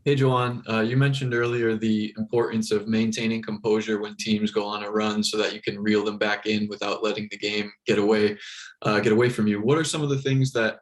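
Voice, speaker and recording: A man's voice: lowish voice, poor audio. A man, a newspaper reporter putting a carefully worded question to a coach. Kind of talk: press conference question.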